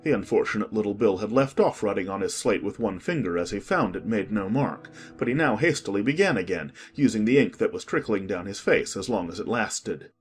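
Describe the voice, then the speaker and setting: A man narrating an audiobook in a calm, measured way. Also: lowish voice, soft background music.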